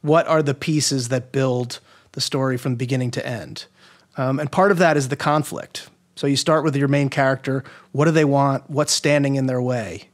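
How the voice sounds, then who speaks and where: Man explaining things, mid-pitched voice. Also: steady tone, moderate pace. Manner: agreeable, explanatory, poses rhetorical questions. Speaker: guest expert, audio drama maker. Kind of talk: casual explanatory interview talk.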